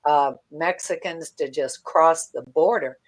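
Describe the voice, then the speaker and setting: Older american lady chatting politics, really slow and halting, voice on the low side. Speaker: guest commentator. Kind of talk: conversational political commentary.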